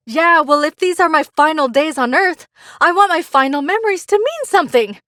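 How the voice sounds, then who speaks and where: A woman's voice: high-pitched, animated, brisk, sharp tone, dry recording. A woman playing a bitter, sardonic, brooding caller in an audio drama. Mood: annoyed, regretful. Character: bitter, annoyed female caller searching for meaning.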